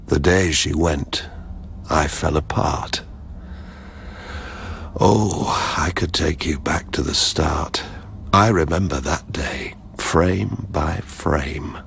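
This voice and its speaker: A man playing a weary, grieving middle-aged father in a poetic radio drama, speaking in slow, halting verse. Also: rumbling low, creaky, fairly bright tone, slight background noise, exaggerated voice. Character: grieving, weary father haunted by his daughter's death.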